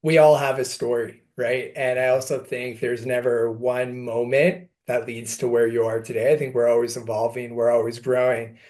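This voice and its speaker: A man's voice: medium pitch, flat delivery, normal speed, hardly any pauses, a little mellow. Earnest Canadian man as podcast guest. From Montreal, Canada. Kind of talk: interview conversation, personal storytelling.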